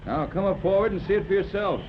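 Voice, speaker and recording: Man playing a calm, commanding sea officer, fairly high voice, muffled old radio with hiss. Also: fast talker, close to an everyday voice. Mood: uneasy. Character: steady, grave male seaman, middle-aged. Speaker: ship's officer Tex. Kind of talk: 1930s radio drama acting.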